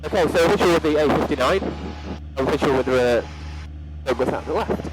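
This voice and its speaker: A man's voice: fairly high pitch, fairly quick, very noisy. A young adult man giving a running commentary as a student pilot, talking through his actions step by step. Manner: narrating own actions step by step. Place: inside small light aircraft cockpit in flight.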